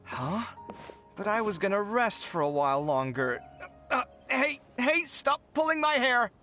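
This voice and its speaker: Weary, expressive young game hero. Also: male voice, high-pitched, unhurried, faint music behind, exaggerated voice. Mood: protesting. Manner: hesitant, sighing, earnest. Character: weary, principled young male genius and reluctant champion. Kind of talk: video game voice acting.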